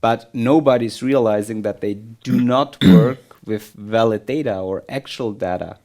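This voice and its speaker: A man's voice: lowish voice, a little slow, a little mellow. A man hosting a conversational business podcast, explaining points and often checking in with a short tag question. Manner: explanatory, frequently checks in with "right?". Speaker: podcast host.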